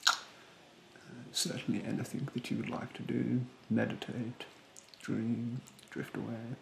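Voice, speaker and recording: A young man doing a soft-spoken ASMR roleplay in a breathy, hushed voice, politely snide and condescending with drawn-out, hesitant phrasing. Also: medium pitch, flat delivery, reverberant, noisy background. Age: young adult. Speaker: ASMR performer playing a rude facial therapist. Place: bedroom, indoors.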